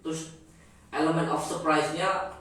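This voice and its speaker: A man's voice: fairly high pitch, fairly even intonation, normal speed, fairly bright tone. A young man moderating a casual group discussion, joking and prompting the others to speak. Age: young adult. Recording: echoey room, distant mic, faint noise.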